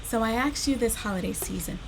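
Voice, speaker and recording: A woman hosting a children's storytime, reading aloud and speaking directly to young listeners in an animated, expressive, encouraging way. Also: fairly high pitch, brisk, creaky, slight background noise, low-quality recording.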